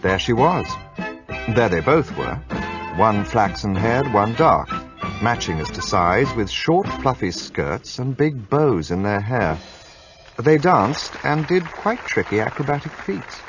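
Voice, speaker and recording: An actor narrating in the first person as a gentleman, measured and storytelling. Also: medium pitch, very animated. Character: English gentleman narrator, looking back. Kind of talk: radio play narration. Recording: lo-fi recording with music behind.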